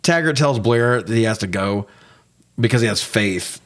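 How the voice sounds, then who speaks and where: A man's voice: fairly low pitch, lively intonation, normal speed. A man co-hosting a casual, comedic movie review, talking with sarcastic, profane joking. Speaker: podcast co-host reviewing movies.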